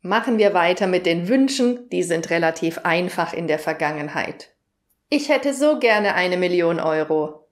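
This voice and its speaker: Low-voiced woman teaching grammar. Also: animated, normal speed. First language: German. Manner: clear, explaining, asks the viewer questions. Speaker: German teacher. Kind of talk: grammar lesson.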